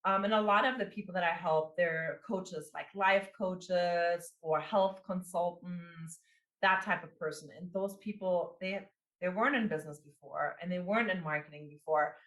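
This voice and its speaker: A woman's voice: lowish voice, normal speed, a bit bright, roomy sound, rough recording. A woman in her forties, a business coach and marketing executive, talking casually in an interview with many fillers and restarts. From immigrant. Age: 40s.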